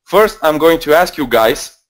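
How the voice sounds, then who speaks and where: A man's voice: fairly high pitch, animated, a little slow, fairly bright tone. Bulgarian man in his twenties, rambling. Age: 20s. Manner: casual, rambling with many fillers and restarts. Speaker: trading mentor. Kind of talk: live webinar presentation. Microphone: headset.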